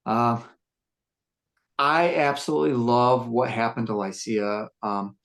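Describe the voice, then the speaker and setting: Gruff male musician, mid-pitched, unhurried podcast storyteller who circles back and restarts. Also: fairly even intonation, fairly bright tone. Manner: reflective, rambling storytelling with fillers and restarts. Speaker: musician discussing his band. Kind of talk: casual podcast chat.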